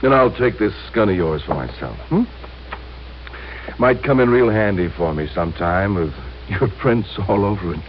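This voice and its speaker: Actor as a low-voiced, gloating radio gunman. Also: animated, normal speed, close to an everyday voice. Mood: gloating menace. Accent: American. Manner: menacing, mock-casual. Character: cold, gloating male killer holding people at gunpoint. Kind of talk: old-time radio crime drama acting. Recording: muffled, slightly noisy old radio recording.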